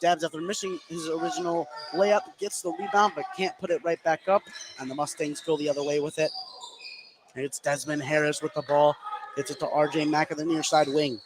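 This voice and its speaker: Higher-voiced male basketball announcer, noisy courtside. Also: brisk. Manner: excitable, raises voice on big plays. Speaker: play-by-play announcer. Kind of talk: live basketball play-by-play commentary. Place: courtside in a gym. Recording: clear background noise on live broadcast.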